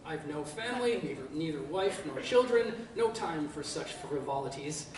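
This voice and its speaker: A reader voicing a proud, brusque blind lumberman, speaking in a brisk, self-assured way, recorded from far off in an echoey room. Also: mid-range voice, animated, darkish tone, close to an everyday voice. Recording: echoey room, far from mic, background noise.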